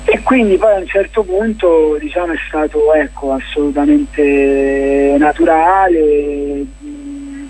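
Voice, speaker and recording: A man with a husky voice, speaking as a guest in a casual radio interview, explaining his projects in a hesitant, rambling way. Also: a little high, animated, takes it slowly, darkish tone, rough recording. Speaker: playwright and documentary filmmaker, interview guest.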